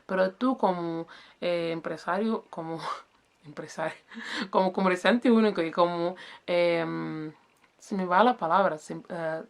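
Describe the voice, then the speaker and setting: A woman's voice: lowish voice, measured pace, creaky. A woman whose first language is Portuguese, casually explaining self-employment rules and often stopping to search for words and correct herself. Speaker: business advice vlogger.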